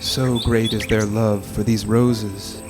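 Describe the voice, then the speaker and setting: A man playing a revered, gentle, impassioned holy man in a dramatic reading, with a measured, exalted delivery. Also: on the low side, level tone. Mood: reverent, devout. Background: loud music, birdsong and insects.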